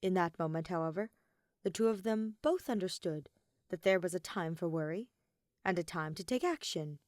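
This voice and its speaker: A woman's voice: fairly low pitch, normal speed, dry recording. A woman reading scripted fiction aloud as a story narrator, in a close recording.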